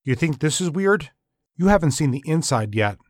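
A man's voice: fairly high pitch, animated, moderate pace, no echo, clean studio sound, voice pushed up. A man voicing a wry, grumbling male police detective.

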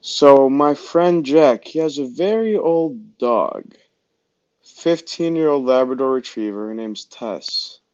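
A man's voice: medium pitch, lively intonation, takes it slowly, a little mellow. Good-natured male caller, lo-fi call audio. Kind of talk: casual chat.

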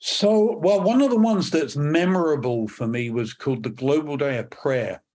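An English man, a podcast guest, speaking in a measured way with frequent ums and restarts. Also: fairly high pitch, a little mellow. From England. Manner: measured, many fillers and restarts. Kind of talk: podcast interview.

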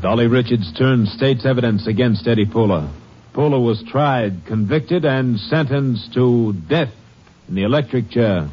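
A man's voice: medium pitch, measured pace, loud music behind the voice. A man giving scripted narration in a 1950s radio style. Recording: muffled 1950s radio broadcast.

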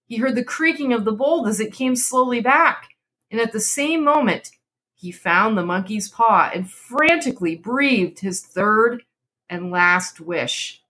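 A woman's voice: on the high side, expressive, measured pace, bright, forceful tone. A woman hosting a podcast, moving between dramatic story narration and casual chat. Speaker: podcast host and story reader.

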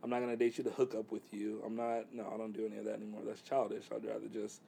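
A man's voice: lowish voice, monotone, racing, gravelly, mellow, a little hiss or hum. A man in his thirties chatting casually about dating, laid-back and rambling with lots of fillers. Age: 30s. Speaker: guest.

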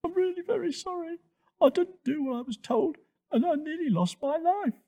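Man as a high, meek little monkey. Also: big pitch swings, a little slow, dark tone, muffled recording, full character voice. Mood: sorry, tearful. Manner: meek, plaintive. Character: small, naive, apologetic young monkey. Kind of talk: children's story character voice.

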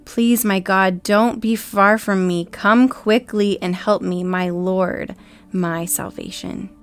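A woman reading a psalm aloud as a prayer, measured, with pauses between short phrases. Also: medium pitch, fairly even intonation, smooth. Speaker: scripture reader. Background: faint acoustic guitar music.

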